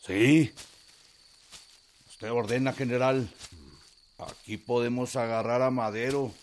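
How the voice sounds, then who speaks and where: An actor playing a loyal, eager revolutionary soldier, reporting earnestly and deferentially in a radio drama. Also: male voice, mid-range voice, steady tone, takes it slowly, a little hiss or hum, natural voice. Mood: earnest, urgent.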